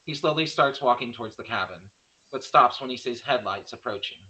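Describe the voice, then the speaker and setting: A man's voice: mid-range voice, expressive, brisk, sharp tone, low-quality recording. A man narrating stage directions in an amateur screenplay table read, sometimes stumbling and correcting himself.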